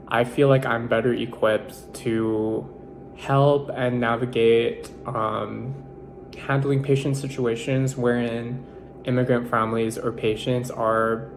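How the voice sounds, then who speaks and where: A young man from the Philippines whose first language is Tagalog, giving an earnest, measured personal reflection as a nursing student, pausing with fillers and correcting himself, over a faint background hum. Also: mid-range voice.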